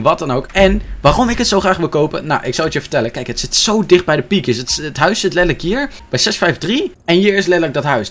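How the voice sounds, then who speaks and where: A young man with a slightly high voice chats through gameplay at a very quick pace. He thinks aloud informally, close to the mic, with clear game noise behind him. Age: young adult. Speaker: gaming video creator. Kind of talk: casual gameplay commentary and roleplay chat. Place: indoor room with gaming chair.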